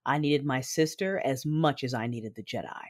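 An actress playing an intense, coolly confident Sith warrior woman, narrating a sci-fi audio drama in a husky, measured voice over music. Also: very deep voice, a little mellow. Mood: grave, intense. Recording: music under the voice.

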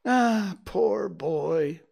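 A man's voice: on the high side, animated, normal speed, voice pushed up. A man voicing an old man reliving his childhood in a storybook reading, exclamatory, stammering and sentimental. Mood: ecstatic, tearful wonder.

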